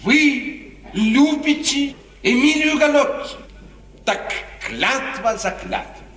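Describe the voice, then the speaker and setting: An actor playing a scheming courtier in a 1950s radio drama, speaking in a formal, deferential, theatrical manner. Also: male voice, very high-pitched, animated, takes it slowly, a bit bright, full character voice. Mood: protesting. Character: smooth, scheming male courtier serving a prince. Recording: echoey, noisy, distant 1950s radio recording.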